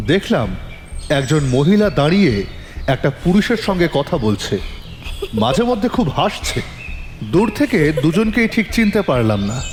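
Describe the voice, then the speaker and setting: A man narrating a detective story in the first person, in a measured, suspenseful storytelling manner. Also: a little high, in a large hall.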